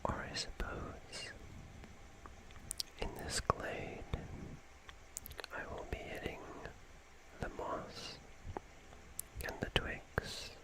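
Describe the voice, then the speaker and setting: An ASMR performer whispering a monologue in a deliberate, whimsical, mock-formal manner with long pauses. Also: low-quality recording. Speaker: ASMR streamer playing a character.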